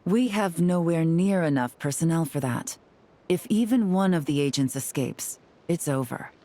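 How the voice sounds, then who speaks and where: A woman's voice: deep voice, expressive, moderate pace, dark tone. A woman playing a cool, calculating strategist in a video game, composed and firm in her delivery.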